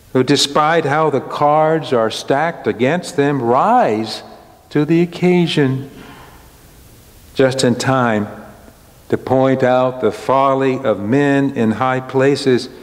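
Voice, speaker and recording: A man in his sixties preaches from a church pulpit in a middle-pitched voice. His storytelling sermon moves slowly in deliberate phrases, with long reverb from the hall. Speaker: pastor.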